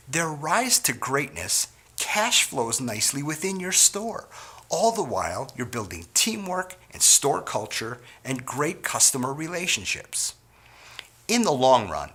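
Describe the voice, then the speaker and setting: A middle-aged man from Wisconsin giving a scripted sales training talk to store owners in an earnest, measured way. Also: medium pitch, pushed and bright, breathy edge.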